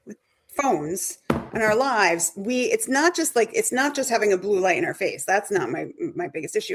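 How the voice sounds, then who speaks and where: A middle-aged woman being interviewed about sleep medicine. Her voice is a little hoarse, and her answers run on with restarts and self-corrections. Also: medium pitch. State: voice going hoarse. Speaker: sleep medicine expert guest.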